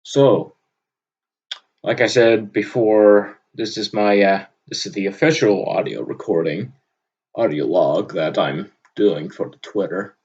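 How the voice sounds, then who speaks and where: A young man from Vermont with a loud, booming voice, talking off the cuff in an unscripted audio log, rambling with lots of filler words. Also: unhurried, husky, highs cut off. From Vermont, USA. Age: young adult. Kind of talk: unscripted casual monologue. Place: at his desk, indoors. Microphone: computer microphone on a desk.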